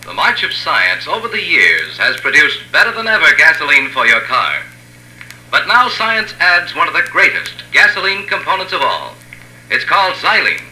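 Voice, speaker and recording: Male 1950s commercial announcer: emphatic, polished, slightly high and very animated, on an echoey old radio recording. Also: normal speed. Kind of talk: 1950s radio advertising read.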